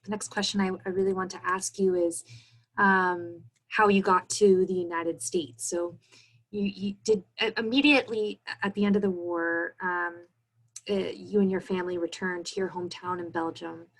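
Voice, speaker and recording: A woman's voice: lowish voice, steady tone, normal speed, low-quality recording. A woman moderating an interview, reading audience questions to the guest and speaking politely with hesitant fillers.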